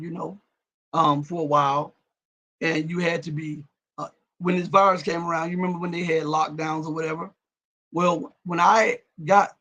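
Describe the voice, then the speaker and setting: Gravelly male live-stream host, high-set voice, raspy and cracking at times, emphatic, mutters his asides. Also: sharp tone. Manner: emphatic, repeats words and restarts, drops his voice to mutter asides. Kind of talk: live-stream commentary, reading viewer comments.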